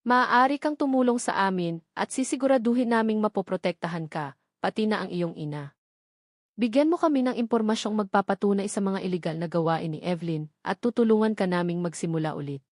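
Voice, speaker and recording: A composed male investigator from an audio drama, read by a woman with a Filipino accent. He speaks calmly but with emphasis, firm and persuasive. The sound is close and dry. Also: mid-range voice, a little fast, dry recording, lightly acted.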